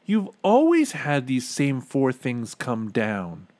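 A man's voice: mid-range voice, huge pitch swings, takes it slowly, darkish tone, no echo, voice pushed up. A man voicing a young page boy who earnestly pleads with his king, in a storybook reading. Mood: pleading, anxious. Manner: pleading, reasoning.

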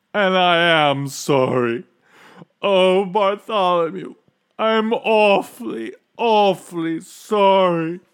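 A man's voice: a little high, fairly bright tone, voice pushed up, heavily acted. A man voicing a proud, blustering but helpless old storybook king, with a pompous, groaning and bellowing delivery. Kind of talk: storybook character voice.

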